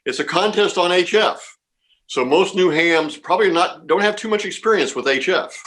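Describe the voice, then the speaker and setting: Male interviewee, slightly high voice, informal explainer, reassuring, repeats himself. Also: animated, moderate pace, a bit bright. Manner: reassuring, repeats words and phrases. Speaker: amateur radio contest organizer being interviewed.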